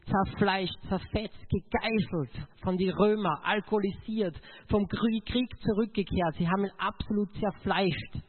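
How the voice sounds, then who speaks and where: High-pitched Austrian man preaching, phone-like sound. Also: unhurried, hardly any pauses, husky. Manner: emotional, emphatic, addresses listeners directly. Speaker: motivational speaker giving a testimony.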